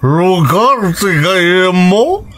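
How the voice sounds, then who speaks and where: A man's voice: high-pitched, sing-song, drawn-out words, a bit bright, a little hiss or hum, exaggerated voice. A furious rich father. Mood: angry scolding. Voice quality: scornful, scolding. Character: wealthy stern father, furious at his daughter. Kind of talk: radio drama acting.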